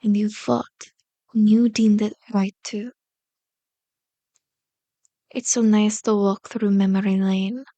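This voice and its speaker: A woman playing a seductive, manipulative female vampire in an ASMR roleplay, speaking softly and coaxingly, drifting into breathy whispers close to the microphone. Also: mid-range voice, dry recording, natural voice. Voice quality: soft, breathy whispers mixed with hushed voice. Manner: slow, soothing, coaxing, intimate. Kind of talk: ASMR roleplay, hypnotic soft speech and whispering. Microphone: close microphone, dry.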